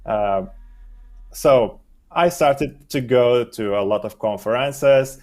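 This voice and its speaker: Slow, halting Romanian-native man. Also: mid-range voice, darkish tone. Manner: halting, searches for words in a second language. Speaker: guest, SEO expert. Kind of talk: casual interview answers.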